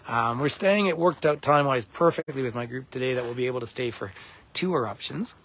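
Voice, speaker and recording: A middle-aged man with a husky, croaky voice, nursing a cold. He talks loosely and chattily as a tour guide livestreaming outdoors on his phone, breaking off to greet viewers by name. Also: medium pitch. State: has a cold. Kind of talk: live-streamed casual commentary to viewers. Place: outdoors at a geyser basin.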